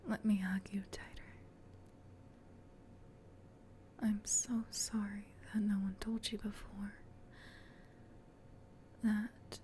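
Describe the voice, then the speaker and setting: A woman voicing a gentle, protective female demon in a soft, soothing whisper for an ASMR comfort roleplay. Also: medium pitch, little pitch movement, a little hiss or hum, natural voice. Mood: tender, reassuring. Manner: soothing, tender, reassuring, unhurried.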